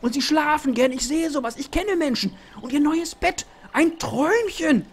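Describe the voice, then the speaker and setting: Man acting a high-pitched, pushy estate agent. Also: animated, moderate pace. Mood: enthusiastic. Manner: theatrical gushing sales patter. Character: pushy, overeager male estate agent, gushing and desperate. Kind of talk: scripted comedic game fan-fiction acting.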